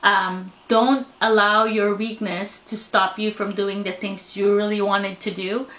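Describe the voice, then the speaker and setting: A woman with a Filipino accent speaks at an ordinary middle pitch in a candid personal vlog. She sounds reflective and a little self-deprecating. Her voice rings around a noticeably echoey room. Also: level tone, a little slow, a bit bright. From Philippines. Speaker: vlogger.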